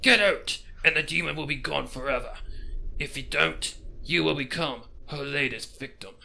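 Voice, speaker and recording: A man voicing a solemn pony who knows demon lore, speaking gravely and deliberately in a fan fiction reading. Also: on the high side, pushed and bright, full character voice, low-quality recording. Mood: solemn, instructing.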